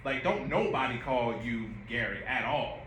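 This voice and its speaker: A man's voice: mid-range voice, normal speed, fairly bright tone. A man giving informal director's notes at a rehearsal, repeating phrases and demonstrating lines. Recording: roomy, faintly noisy audio.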